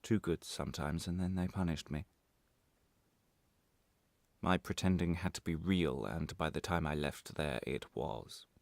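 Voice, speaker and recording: An actor playing a weary, bitter prisoner held without trial in a radio monologue, speaking in a halting, intense confessional way. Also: male voice, very deep voice, creaky, no room sound, heavily acted. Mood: haunted, intense.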